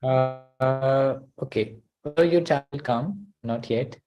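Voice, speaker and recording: A man's voice: mid-range voice, slow pace, over music. A man hosting an online class over a video call. He talks hesitantly, with many uh and you know.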